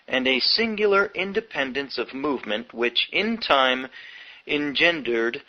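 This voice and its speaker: A man reading a history book aloud as scripted narration, in measured, short phrases, on a dull lo-fi recording. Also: medium pitch. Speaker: audiobook reader. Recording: dull lo-fi audio.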